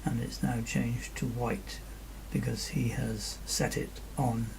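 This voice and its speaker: Slow, very creaky man. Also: mid-range voice, fairly even intonation, background noise. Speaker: virtual air traffic ground controller and narrator. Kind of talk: radio phraseology and explanatory screen-recording commentary.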